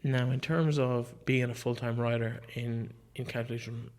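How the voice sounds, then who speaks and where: A man's voice: mid-range voice, fairly even intonation, normal speed, a little mellow, slight background noise. A man hosting a conversational interview, putting informal questions to his guest. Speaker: interviewer, podcast host.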